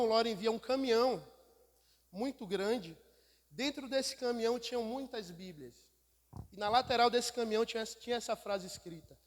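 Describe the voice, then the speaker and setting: A Brazilian man, a missionary, giving an emphatic church sermon as storytelling, repeating phrases for effect. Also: very high voice. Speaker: missionary speaker. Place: church hall. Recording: echoey hall with long reverb.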